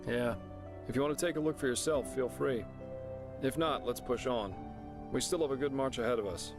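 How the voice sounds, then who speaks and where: An actor playing a chatty, wry, easygoing adventurer in a fantasy game, explaining things to a newcomer in a casual, jokey manner. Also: male voice, mid-range voice, a little fast, music in the background, lightly acted. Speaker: travelling companion and guide character. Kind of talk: scripted fantasy game dialogue.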